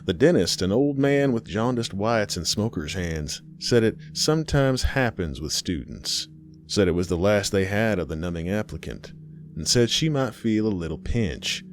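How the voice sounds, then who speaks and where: Deep-voiced man narrating horror. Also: animated, a little hiss or hum. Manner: dramatic, measured storytelling with pauses. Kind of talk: scripted narration of a horror story.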